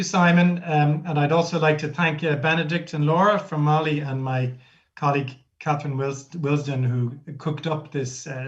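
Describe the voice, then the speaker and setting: A man, a professor hosting an interview, thoughtful and hesitant with many pauses. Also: on the high side, dull audio.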